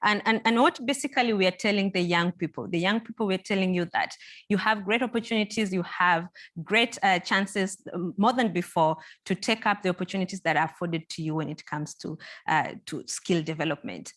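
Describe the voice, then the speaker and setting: A woman's voice: on the low side, brisk, fairly bright tone. A woman whose first language is Swahili, moderating a panel and giving earnest, encouraging closing remarks, often repeating words and using fillers.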